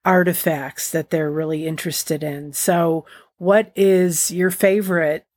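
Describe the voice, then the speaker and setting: Dry-sounding, fairly low-voiced woman host. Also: measured pace, no room sound. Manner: excitable, stumbling over words. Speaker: podcast host. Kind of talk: interview hosting.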